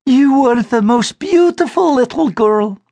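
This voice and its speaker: A male narrator voicing a gentle middle-aged mother who speaks tenderly, slowly and soothingly. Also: very high voice, expressive, pitched above the performer's own voice, full character voice.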